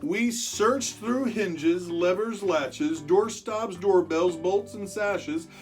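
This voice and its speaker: A storyteller reading a rhyming picture book aloud to children in an expressive way, stretching words for emphasis and chatting with young listeners. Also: medium pitch, slow, faint music behind. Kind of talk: animated children's read-aloud of rhyming verse.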